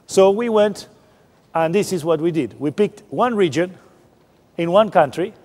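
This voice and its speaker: A man's voice: high-pitched, lively intonation, unhurried, darkish tone. A man giving a public science lecture, explaining informally and often restarting his sentences. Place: large lecture hall. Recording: long hall reverb.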